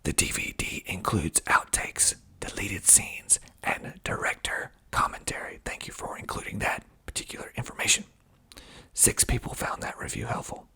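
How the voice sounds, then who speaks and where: A man speaking in a breathy, husky ASMR whisper, reading aloud and adding deliberate, dry asides. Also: low voice, lively intonation, sharp tone. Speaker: filmmaker hosting his own video.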